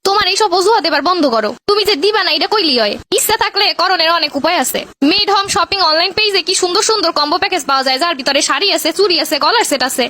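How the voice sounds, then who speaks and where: A woman plays a sharp-tongued Bangladeshi housewife in a comedy cartoon. Her voice is piercingly high and bright, and she argues at breakneck speed. She scolds and demands as she goes. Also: lively intonation, rapid-fire, few pauses, heavily acted. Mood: angry nagging. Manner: scolding, argumentative.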